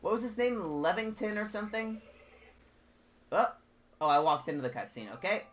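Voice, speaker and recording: A man's voice: high-pitched, very animated, normal speed, fairly bright tone. A man commenting on a game as he plays, chatty and rambling, often stumbling over and repeating his words. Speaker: gaming channel host. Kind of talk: let's play commentary.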